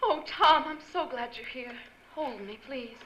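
High, lively sultry femme fatale. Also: female voice, unhurried, soft background music, exaggerated voice. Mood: pleading, romantic. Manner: coy, melodramatic. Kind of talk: 1940s-style radio drama acting. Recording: dull, faintly noisy stage recording.

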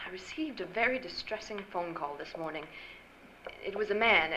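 A woman's voice: on the low side, lively intonation, normal speed, pushed and bright. A woman playing an elegant, confiding rich wife in a radio drama, with poised theatrical delivery. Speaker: actor. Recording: dull noisy live-stage recording.

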